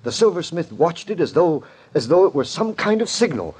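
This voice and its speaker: An actor playing a deferential, puzzled police chief giving a report in 1940s radio style. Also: male voice, fairly high pitch, very animated, darkish tone, breathy edge. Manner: deferential, measured storytelling.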